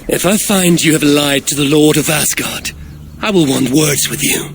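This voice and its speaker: A man's voice: on the high side, normal speed, noisy background, natural voice. A man playing an imperious Norse god, lord of Asgard, in a video game, curt and demanding with formal archaic phrasing. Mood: commanding, threatening. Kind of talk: cinematic video game acting.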